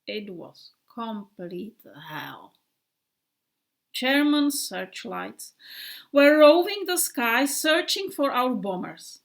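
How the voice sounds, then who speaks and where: A woman voicing a male bomber airplane who tells his wartime tale in a slow, dreamy way with hushed passages. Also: on the high side, big pitch swings, gravelly, full character voice. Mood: dramatic.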